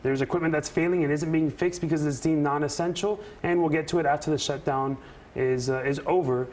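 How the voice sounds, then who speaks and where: A man speaking as a union representative in a news interview, earnest and emphatic, with deliberate phrasing. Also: a little high, steady tone, a little mellow. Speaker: air traffic controller and union representative, interview guest. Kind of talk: live news interview answers.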